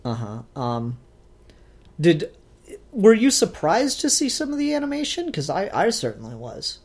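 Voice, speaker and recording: A man co-hosting a casual review discussion, thinking aloud in a halting way with repeated phrases. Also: high voice, wildly swooping pitch, gravelly, darkish tone. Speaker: podcast co-host reviewing a fan film.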